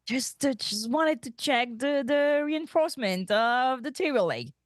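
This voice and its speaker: An awkward young male officer from a sci-fi cartoon script, acted by a woman at a table read. He boasts and stammers while trying to seem smooth. The pitch moves around a lot, in a dry, close recording. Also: lively intonation, a little slow, dry recording. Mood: flustered. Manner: stammering, trying to sound cool.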